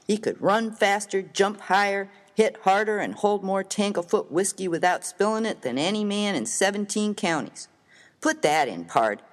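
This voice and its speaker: A man playing a rough, hearty, good-natured American miner of the Old West in a dramatised story reading, with slangy, folksy, emphatic speech. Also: high-pitched, lively intonation, moderate pace. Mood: admiring, boastful.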